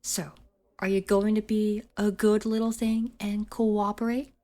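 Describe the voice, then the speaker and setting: A woman plays a domineering delinquent schoolgirl in an ASMR roleplay. She speaks very slowly at a middle pitch, condescending and teasing, with menace under the sweetness. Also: level tone, dark tone, lightly acted. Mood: smug, threatening.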